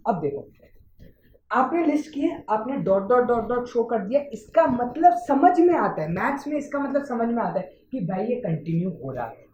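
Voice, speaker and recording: A woman's voice: on the high side, big pitch swings, moderate pace, mellow, a little hiss or hum. A woman teaching maths in mixed Hindi and English, patiently repeating check-ins and drawing out the English terms. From India. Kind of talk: Hindi-English classroom explanation. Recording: echoey room, slightly muffled.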